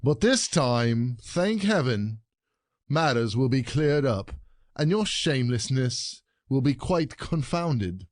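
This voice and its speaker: An actor playing a jealous, gloating peasant husband in a classic comedy audio play, sardonic and declamatory. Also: male voice, medium pitch, lively intonation, slow pace, no room sound, clean studio sound. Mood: gloating triumph.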